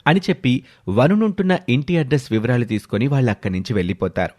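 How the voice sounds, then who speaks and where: A man's voice: medium pitch, very animated, fast talker, darkish tone. A man narrating a scripted story as a storyteller. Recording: music behind the voice. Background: background music.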